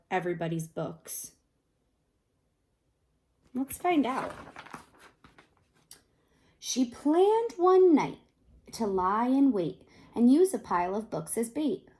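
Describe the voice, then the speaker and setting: A woman reading a children's picture book aloud expressively, pausing to ask her young listeners questions. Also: medium pitch, darkish tone. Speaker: read-aloud host, storyteller.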